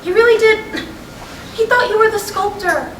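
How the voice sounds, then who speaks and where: A woman acting a restless, sharp-tongued middle-aged wife in a staged reading of a play, expressive and pointed. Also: very high-pitched, moderate pace. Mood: pleading. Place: indoor live reading at a college. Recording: echoey room from far off, noisy.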